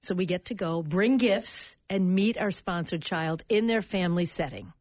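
A lower-pitched American woman tells a heartfelt story as a guest on live radio. She is animated and emotional. The studio sound is dry and close. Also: normal speed, dry recording. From United States. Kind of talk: interview storytelling.

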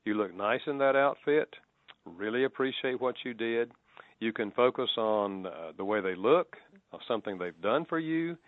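A man's voice: medium pitch, animated, moderate pace, no room sound. A man with a Southern US accent, a radio guest and author, explaining in a folksy, plain-spoken way with chuckles. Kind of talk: radio interview. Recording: phone-line guest, dry.

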